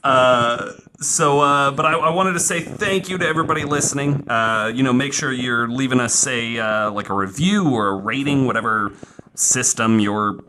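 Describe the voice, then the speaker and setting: A man hosting a podcast, telling a true story casually in an informal, halting way with some self-corrections. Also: mid-range voice. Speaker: podcast host. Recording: faint background noise.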